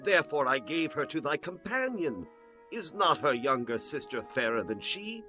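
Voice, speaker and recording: A man's voice: a little high, very animated, moderate pace, pushed and bright, soft background music. A man playing an earnest, self-justifying father-in-law of ancient times in dramatized scripture, declaiming. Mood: apologetic, placating.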